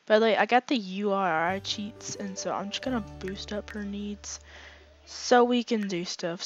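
A young woman talks over her gameplay in loose, rambling sentences full of likes and ums. Her voice is raspy and worn thin from being sick. The home recording sounds a little dull. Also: medium pitch. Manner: rambling, filler-heavy, chatty. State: hoarse, voice fading from illness. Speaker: gaming channel host. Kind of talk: casual let's play commentary.

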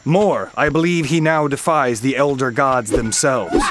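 Game mentor god, male actor: grave, formal, commanding, middle-pitched, heavy score behind. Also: lightly acted. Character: solemn, commanding thunder god mentor of warriors. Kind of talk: video game cutscene acting. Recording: loud music behind the voice.

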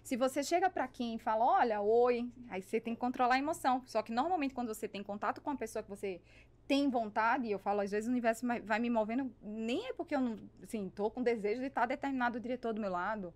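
A Brazilian woman talks as a podcast guest in a high voice. She rattles along quickly and emphatically, acting out bits of dialogue in a chatty, storytelling way. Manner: talkative, emphatic, acts out dialogue. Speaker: podcast guest, business networker. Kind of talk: animated conversational storytelling. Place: small foam-padded podcast studio. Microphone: studio microphone on boom arm.

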